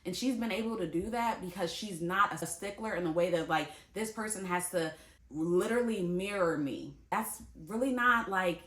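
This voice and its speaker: Chatty young woman, bright and echoey. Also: fairly low pitch, normal speed. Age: 20s. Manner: chatty, talks straight to viewers, laughs easily. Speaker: vlogger. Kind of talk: casual talk to camera. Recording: echoey room.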